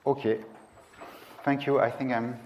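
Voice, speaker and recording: A man's voice: medium pitch, little pitch movement, unhurried, highs cut off, heavy background noise. A man in his thirties giving a technical presentation in a lecture room, speaking hesitantly and searching for words. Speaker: conference presenter.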